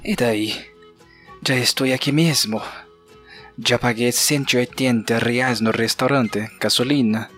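A man's voice: mid-range voice, steady tone, a little slow, a bit bright. An actor playing a short, insecure, embittered Bolivian man in an audio drama, speaking Portuguese with a Spanish accent and a self-pitying manner. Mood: bitter, resigned. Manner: self-pitying inner monologue mixing in Spanish words. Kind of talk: audio drama character acting. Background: music bed.

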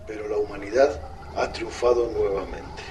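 A man's voice: lowish voice, normal speed, a little mellow, natural voice. An actor playing a grave man announcing battle tidings in a radio drama. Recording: distant mic, clear background noise.

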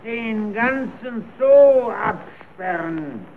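High, blustering gang boss. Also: male voice, drawn-out words, heavily acted. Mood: commanding. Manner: shouting commands, excitable. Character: blustering male pursuer, bossy gang leader. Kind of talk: old German radio play acting. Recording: muffled old radio recording, distant, crowd noise.

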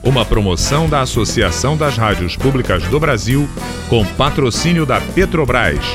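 Male Brazilian radio announcer, middle pitch, scripted sponsor read over music. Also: expressive, normal speed.

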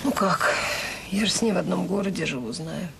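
An actress playing a weary middle-aged children's home worker in a radio drama, plain-spoken, wry and self-deprecating. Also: female voice, lowish voice, brisk, creaky. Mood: bitter, wry. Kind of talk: radio drama acting, intimate conversation. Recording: hissy old audio play recording.

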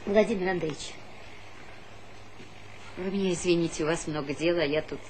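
A woman's voice: fairly low pitch, fairly quick, natural voice. An actress playing a reproachful middle-aged schoolteacher mother with a dramatic, pleading manner in a Soviet stage comedy. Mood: flustered, pleading. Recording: noisy 1970s theatre recording.

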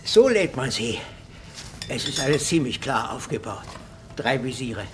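A man's voice: mid-range voice, big pitch swings, a little slow, background noise. An actor playing a matter-of-fact, affable arms dealer who explains like a practical salesman in a radio crime drama.

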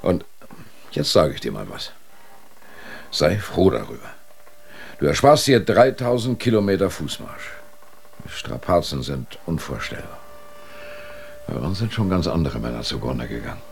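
A man's voice: very deep voice, big pitch swings, unhurried, long pauses, heavily acted. An actor playing a proud, commanding male expedition leader in a radio drama, emphatic and authoritative. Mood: dismissive, stern. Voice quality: gravelly, husky.

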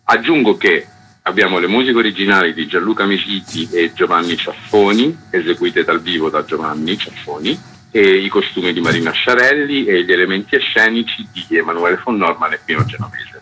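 A man's voice: low voice, brisk, bright, forceful tone, slight background noise, low-quality recording. A man, a theatre director answering questions in a conversational radio interview. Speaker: theatre festival artistic director, interview guest.

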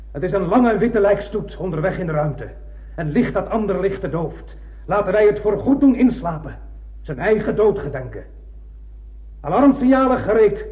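A man's voice: fairly high pitch, close to an everyday voice. Obsessed old blind spaceship captain, done by a male actor, grand poetic speechifying, dull old radio drama sound. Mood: rallying, commanding. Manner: declamatory, poetic, swinging from hushed to ranting. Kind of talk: theatrical radio drama monologue. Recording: muffled old radio play recording.

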